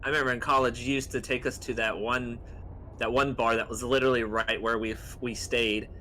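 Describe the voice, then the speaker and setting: A man's voice: medium pitch, level tone, fairly quick, sharp tone, slight background noise, rough recording. A man chatting casually with friends as a co-host of a gaming show. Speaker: video game show co-host. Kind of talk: casual chat.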